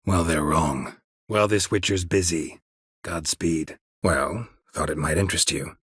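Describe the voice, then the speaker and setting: Gruff american monster hunter for a game, super raspy, dry sardonic deadpan, close dry studio sound. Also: male voice, measured pace, no echo, heavily acted. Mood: dry, guarded. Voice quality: husky, gravelly low voice. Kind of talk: video game voice acting.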